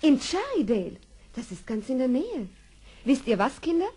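A woman's voice: high-pitched, sing-song, moderate pace, mellow, breathy edge, full character voice. An actress playing a friendly, helpful woman who looks after children in a radio play. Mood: helpful, surprised. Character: friendly, helpful adult spinster companion of children. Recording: old radio play, faint noise.

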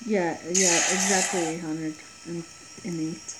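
A woman's voice: on the low side, level tone, slow, heavy background noise. A woman talking casually over mobile gameplay as a YouTube gamer, stumbling and repeating words with many fillers, recorded on a phone. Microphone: phone screen recorder mic.

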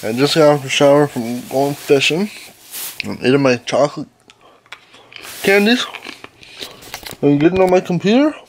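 A man's voice: a little high, expressive, normal speed, long pauses, a little hiss or hum. A young man in his twenties vlogging casually to a handheld camera, loose and joking, swearing now and then. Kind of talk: casual vlog chat. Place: inside a car, then outdoors and at home. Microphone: handheld camera close up.

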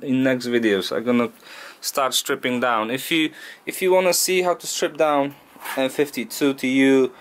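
A man's voice: mid-range voice, a bit bright. Hesitant man talking through a garage job. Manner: halting, thinking aloud with frequent uh and restarts. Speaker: DIY car mechanic channel host. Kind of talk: casual workshop vlog. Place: small home garage workshop.

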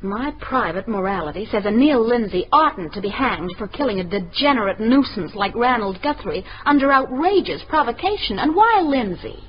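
A woman's voice: fairly high pitch, big pitch swings, normal speed, hardly any pauses. An actress playing a strong-willed young woman in a radio mystery, direct, articulate and insistent. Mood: earnest, defiant. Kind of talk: radio mystery drama acting. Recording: muffled radio recording, faint noise.

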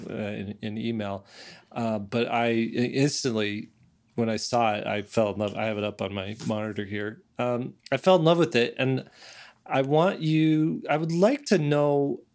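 A middle-aged man hosting a casual podcast, thinking aloud in a halting way, close to a desk microphone. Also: mid-range voice, darkish tone. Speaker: podcast host. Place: home studio, on a video call. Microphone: large desk microphone, close.

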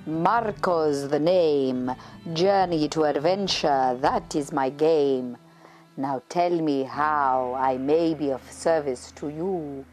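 Storybook lion adventurer, played by a woman: elegant, smirking, low and slow, inviting. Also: darkish tone, soft background music. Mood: suave, inviting. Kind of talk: storybook character voice in verse.

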